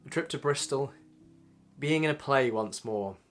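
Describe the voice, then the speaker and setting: A man's voice: mid-range voice, measured pace, a bit bright. A man doing a reflective voice-over for his own vlog, looking back wistfully. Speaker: vlog narrator. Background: guitar music.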